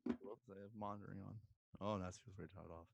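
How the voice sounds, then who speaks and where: A man's voice: low-pitched, level tone, quick pace, vocal fry, no echo. A man in casual group chat, talking in a jokey, wisecracking way. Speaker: podcast and stream regular.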